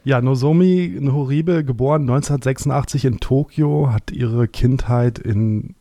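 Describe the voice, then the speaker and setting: Mellow-voiced German podcast host. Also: male voice, mid-range voice, no room sound, studio quality. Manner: measured, clear, announcer-like. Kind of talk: announcements and interview.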